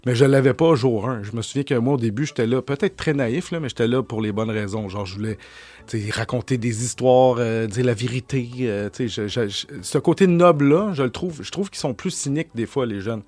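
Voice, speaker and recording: A man in his forties with a Québécois French accent, a journalist answering interview questions, talking fast with many restarts and repeated words. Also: mid-range voice, dry recording. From Quebec. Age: 40s. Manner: lively storyteller. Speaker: journalist guest. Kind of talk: candid conversational interview answers.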